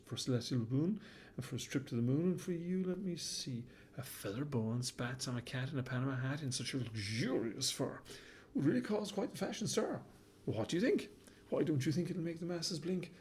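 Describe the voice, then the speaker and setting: A man's voice: a little high, a little mellow, slight background noise. A man voicing a flamboyant, fashion-mad male baboon who talks in pompous, theatrical rhymes. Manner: pompous, sing-song rhyming, fussy and dramatic. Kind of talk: storybook character voice.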